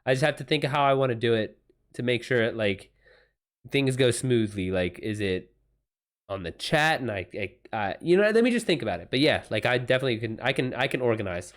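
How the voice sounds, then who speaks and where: A man hosting a livestream, chatting casually with viewers in a halting way as he thinks aloud. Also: medium pitch, expressive. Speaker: livestream host and writer.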